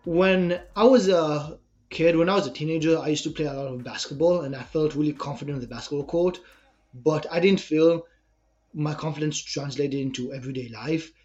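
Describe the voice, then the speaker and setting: A man's voice: fairly high pitch, brisk, a little mellow, dull audio. A young man with an Israeli accent, a personal trainer answering podcast interview questions in an earnest, explanatory way.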